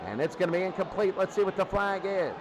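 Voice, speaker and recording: A high-voiced man does live play-by-play for a football game with an excitable delivery. He follows each down over a clearly noisy recording. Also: quick pace, a crowd in the background. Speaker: play-by-play announcer. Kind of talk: live American football commentary.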